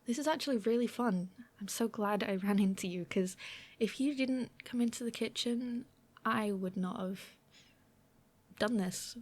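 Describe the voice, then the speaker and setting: High-voiced, playful young woman roommate, acted by a woman. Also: measured pace. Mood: warm, teasing. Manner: chatty, self-deprecating, hesitant, often giggly, murmuring to herself. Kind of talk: girlfriend ASMR audio roleplay.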